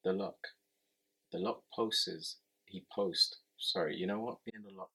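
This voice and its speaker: A man's voice: deep voice, measured pace, bright, forceful tone, husky. A man co-hosting a podcast in casual chat, teasing and pressing his partner with questions, English not his first language. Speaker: podcast co-host.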